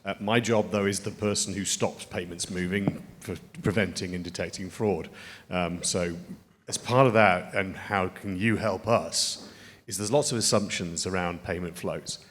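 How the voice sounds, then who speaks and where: A man in the audience asking a question from the floor, quick and wry. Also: deep voice, steady tone, a bit bright. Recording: long hall reverb.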